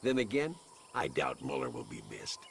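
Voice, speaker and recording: An actor playing a sardonic but earnest frontier gunman in a video game, with a gravelly voice. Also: a bass voice, huge pitch swings, normal speed, noisy background, exaggerated voice. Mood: dry, sardonic. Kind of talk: video game cutscene acting. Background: loud music, horse and wagon sounds.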